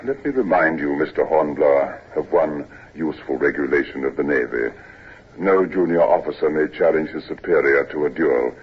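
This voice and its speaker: An actor playing a weary, ailing older naval captain, stern but kindly, in a measured, hesitant old-time radio drama style. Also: male voice, rumbling low, husky. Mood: firm, admonishing. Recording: dull old radio recording.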